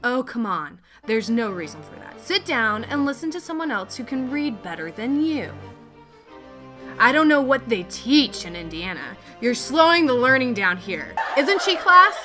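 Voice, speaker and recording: A woman's voice: a little high, very animated, moderate pace, over music. A woman playing a strict, impatient, mocking schoolteacher in a family radio play, with a haughty, scolding delivery. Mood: scornful, impatient. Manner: theatrical scolding, haughty and sharp.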